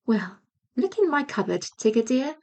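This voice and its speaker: A woman voicing a kind, patient mother kangaroo in a children's story, gently coaxing. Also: fairly high pitch, sing-song, moderate pace. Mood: kindly.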